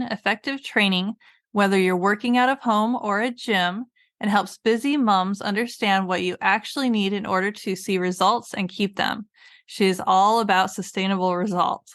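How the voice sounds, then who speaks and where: Upbeat woman podcast host. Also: mid-range voice. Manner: upbeat, enthusiastic, playful. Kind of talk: scripted intro then casual chat.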